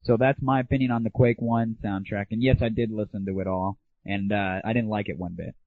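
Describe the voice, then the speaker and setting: A man's voice: fairly low pitch, steady tone, brisk, dry recording. A man hosting an internet audio show, giving casual, opinionated solo commentary with irreverent, crude humor. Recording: thin phone-like early internet audio.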